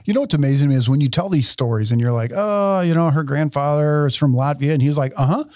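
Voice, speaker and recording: A man's voice: fairly high pitch, fast, a little mellow, no echo, clean studio sound. A man hosting a podcast, chatting casually with joking, wry asides and sarcastic quips. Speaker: podcast host.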